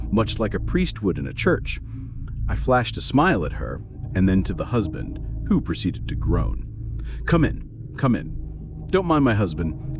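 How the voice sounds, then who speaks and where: Male horror storyteller: dramatic scripted read, lowish voice, wide pitch movement, music underneath. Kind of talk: dramatic first-person scripted narration.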